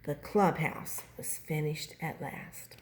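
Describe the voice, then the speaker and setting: An older woman reads a children's story aloud with expression. Her voice sits a little low, and she takes her time, narrating at a very unhurried pace. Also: darkish tone, poor audio. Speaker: grandmother reading storybooks aloud.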